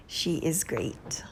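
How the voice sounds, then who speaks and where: A woman with a Haitian accent hosting a casual vlog, giving gushing shout-outs with drawn-out emphasis and soft whispery asides. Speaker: beauty channel host.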